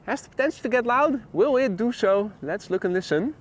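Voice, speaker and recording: Chatty Dutch man, high voice. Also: lively intonation, fairly quick, slight background noise. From Netherlands. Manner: chatty, laughs often, reads chat aloud. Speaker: live stream host, plane spotter. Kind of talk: casual live commentary, chatting with viewers. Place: outdoors at an airport.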